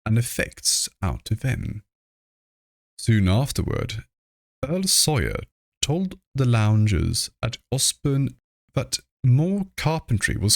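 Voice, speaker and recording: Guy narrating an old horror story, really slow and deliberate, dry close mic. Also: medium pitch, no echo. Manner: measured, deliberate reading. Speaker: audiobook reader. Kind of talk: scripted narration of horror literature.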